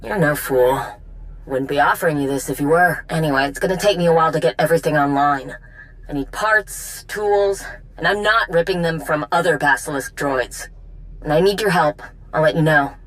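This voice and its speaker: A woman's voice: low-pitched, normal speed, lightly acted, poor audio. A woman voicing a proud, practical, guarded warrior mechanic in a video game cutscene. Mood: guarded concern.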